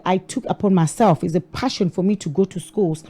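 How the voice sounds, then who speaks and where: A woman's voice: lowish voice, fairly quick, dark tone, breathy edge. A woman guest on a talk show giving earnest answers and advice, repeating her points. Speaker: guest, cultural teacher.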